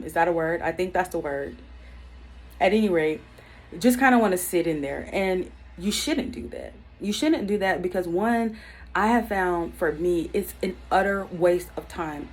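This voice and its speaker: Earnest woman in her thirties, reflective. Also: lowish voice. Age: 30s. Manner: earnest, reflective, many ums and restarts. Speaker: YouTube self-help vlogger. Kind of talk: unscripted personal talk to camera.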